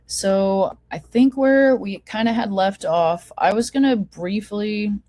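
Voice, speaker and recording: A woman with a hoarse voice from a cold, hosting an online meeting and walking attendees through a website in a casual, filler-heavy way. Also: medium pitch, takes it slowly, warm tone, no room sound, clean studio sound. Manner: informal, lots of fillers and restarts. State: hoarse, getting over a cold. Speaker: forum host. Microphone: video call.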